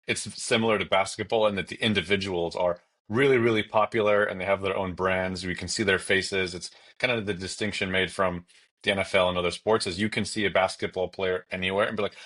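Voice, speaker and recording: A man's voice: deep voice, fairly even intonation, fairly quick, fairly bright tone. A man giving opinionated commentary in a casual, conversational sports discussion.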